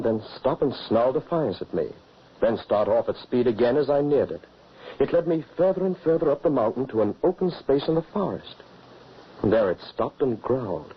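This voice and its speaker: An actor playing a wary widowed father on the run, earnest and measured, in old radio drama acting. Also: medium pitch, husky. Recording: dull old radio recording.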